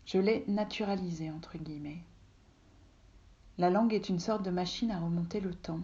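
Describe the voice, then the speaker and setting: A woman from Russia whose first language is Russian, calmly reading a literary text aloud from her home office, often soft and breathy. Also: on the low side, moderate pace, lo-fi sound. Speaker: reader, translator.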